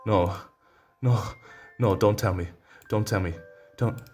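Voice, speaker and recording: A man playing through a visual novel as a streamer, excitable and theatrical, reacting with real emotional investment. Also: on the low side, takes it slowly, soft background music. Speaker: let's play streamer. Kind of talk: visual novel playthrough, reading aloud and reacting.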